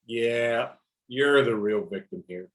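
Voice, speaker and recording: A man playing a dutiful, ruthless armed operative, measured and apologetic, in a script table read. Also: medium pitch, close to an everyday voice. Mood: dry.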